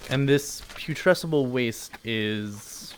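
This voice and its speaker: An actor playing a pompous, officious corporate boss in an audio drama, condescending and easily offended. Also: male voice, mid-range voice, slow, slight background noise, close to an everyday voice. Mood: curt, impatient.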